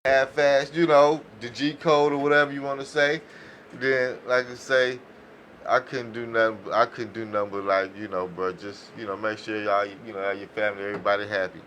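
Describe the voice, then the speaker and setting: A man's voice: lowish voice, level tone, brisk, a bit bright, slight background noise. A man in a casual group chat, speaking hesitantly and circling back over his point.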